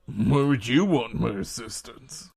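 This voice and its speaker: A man playing an excitable, chummy middle-aged man in an amateur audio drama. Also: mid-range voice, measured pace, gravelly, slightly rough, heavily acted. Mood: puzzled.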